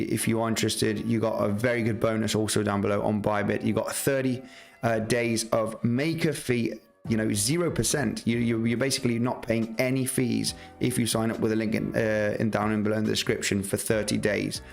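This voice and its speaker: Gravelly man talking through trading charts. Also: fairly low pitch. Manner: loose, many fillers and restarts, walks through charts. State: slightly rough voice. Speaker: market analysis livestream host. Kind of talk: live trading chart commentary.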